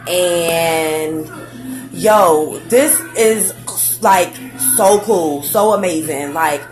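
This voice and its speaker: A woman's voice: lowish voice, very slow. Young new woman on a live stream, hyped and slangy, lots of likes and ums, faint music behind her. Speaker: vlogger. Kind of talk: casual facebook live chat.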